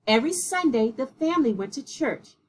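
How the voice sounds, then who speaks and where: A woman with a slightly husky voice reading a children's picture book aloud in a measured, deliberate way. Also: high-pitched, animated, a little hiss or hum. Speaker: read-aloud storyteller.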